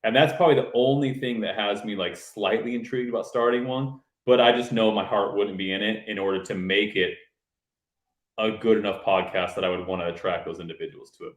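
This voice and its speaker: Man, podcast guest, writer, low pitch, dry teasing humor, echoey room. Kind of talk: casual podcast conversation.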